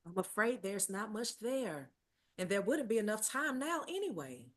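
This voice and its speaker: A woman actor playing a cheerful, playful aunt who hosts a town contest, speaking in a deliberate announcer-like way at a table read. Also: mid-range voice, big pitch swings. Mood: concerned.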